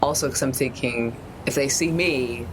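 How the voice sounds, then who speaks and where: A woman's voice: a bass voice, normal speed, background noise. A woman bantering casually as a player in a tabletop role-playing game.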